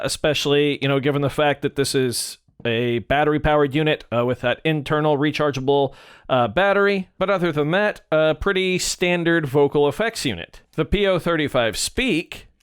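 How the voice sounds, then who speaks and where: A man's voice: fairly high pitch, clear, resonant tone. A man presenting music gear in a casual, conversational and explanatory way. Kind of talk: casual product comparison talk.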